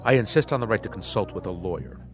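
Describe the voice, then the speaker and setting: A man's voice: lowish voice, quick pace, soft background music, close to an everyday voice. An actor playing a pompous, argumentative, indignant big-city businessman in an audio drama.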